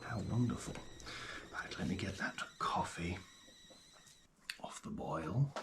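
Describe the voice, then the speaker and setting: Genial male paleontologist, acted by a man, low-pitched, hushed ASMR roleplay delivery, hesitant and gentle, faint noise behind. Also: lively intonation. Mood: gently delighted. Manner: unhurried, hesitant, gently delighted. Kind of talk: ASMR roleplay, soft-spoken and often whispered.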